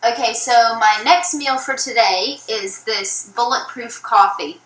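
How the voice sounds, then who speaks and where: A young woman narrating a casual food vlog in a flat, low-energy way, with many ums. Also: unhurried, poor audio. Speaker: YouTuber. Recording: old video played from a phone.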